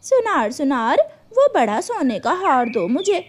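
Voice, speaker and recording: Woman acting ageing greedy crow lady, high voice, swooping delivery, whiny, cartoon. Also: big pitch swings, measured pace, slight background noise. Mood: greedy delight. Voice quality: tearful, high-pitched. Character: greedy, ageing female crow, whining and pleading.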